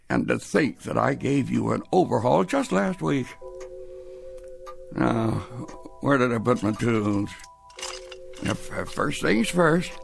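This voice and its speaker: A man's voice: mid-range voice, creaky, heavily acted. An actor playing an elderly, absent-minded clockmaker grandfather in a radio drama, fussy and muttering with hesitant starts. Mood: fussy, absorbed.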